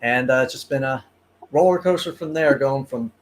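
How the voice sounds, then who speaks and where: A man's voice: medium pitch, moderate pace. A man in his early twenties chatting on a podcast, hesitant, full of ums and uhs, a bit self-deprecating. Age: 20s. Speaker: guest cosplayer. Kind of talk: casual podcast chat.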